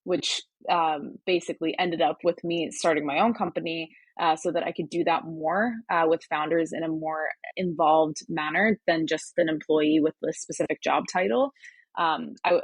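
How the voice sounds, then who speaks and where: A woman's voice: sits low, steady tone, normal speed, husky, no echo, rough recording. A young adult woman from Turkey who sounds American, talking casually as a podcast guest, with lots of ums and restarts. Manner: chatty, many fillers and restarts. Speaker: podcast guest, hardware startup advisor. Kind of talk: casual interview conversation.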